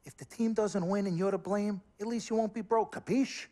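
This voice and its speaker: A man's voice: sits high, steady tone, a little fast, darkish tone, lightly acted. An actor playing a persuasive, salesman-like male sports agent in a video game cutscene.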